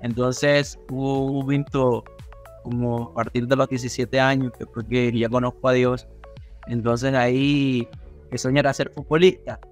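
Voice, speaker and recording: Halting young Ecuadorian man over faint music. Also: mid-range voice, flat delivery. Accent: Ecuadorian coastal Spanish. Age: young adult. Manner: halting, repeats words as he goes. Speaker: podcast guest, rapper. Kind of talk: casual interview storytelling. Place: small indoor studio room on a video call.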